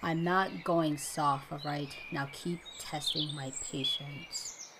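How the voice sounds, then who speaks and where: A woman's voice: sits low, steady tone, takes it slowly, noisy background. A woman voicing a curt, bossy female slasher who is coldly commanding and grudgingly protective, in a roleplay audio drama. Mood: defensive, menacing.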